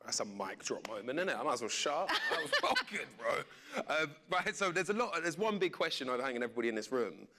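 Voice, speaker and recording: A man's voice: mid-range voice, huge pitch swings, fast talker, few pauses, pushed and bright. A man hosting a live panel casually, in an informal, jokey, slangy way. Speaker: panel host, radio presenter. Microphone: event stage microphone.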